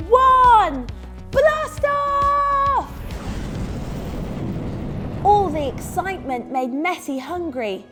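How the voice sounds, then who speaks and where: Animated high-voiced woman reading to children. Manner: expressive, clearly dramatised delivery. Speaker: children's story reader. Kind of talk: animated scripted storytelling for young children. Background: music under the reading.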